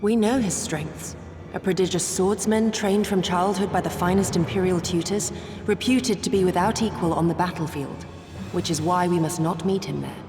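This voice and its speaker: A woman's voice: fairly low pitch, fairly even intonation, normal speed, over music, lightly acted. An actress playing a grave, determined shinobi woman in a video game, with solemn, formal, archaic speech. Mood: fierce, resolute. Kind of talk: dramatic video game voice acting.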